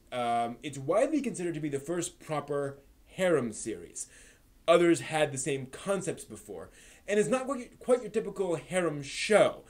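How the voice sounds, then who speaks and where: A man's voice: on the high side, a little mellow. Man hosting an anime talk stream. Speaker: live stream host. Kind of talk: anime review and live discussion.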